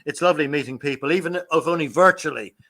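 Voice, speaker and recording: A man's voice: on the high side, fast talker, a bit bright. A man hosting an online meeting, friendly and stammering with repeated words. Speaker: meeting host. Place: lives in Ireland.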